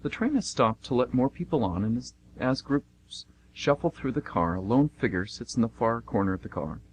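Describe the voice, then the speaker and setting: A man's voice: medium pitch, very animated, moderate pace, low-quality recording. A man working as announcer and narrator for a radio theatre show, reading scripted links and narration. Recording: faint noise and hum.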